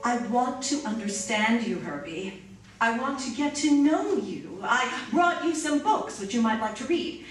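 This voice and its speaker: A woman's voice: on the high side, lively intonation, measured pace, faint music behind. A woman playing an earnest, reserved robot scientist in radio theatre style. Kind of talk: theatrical radio play acting. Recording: echoey room, distant mic, faint noise.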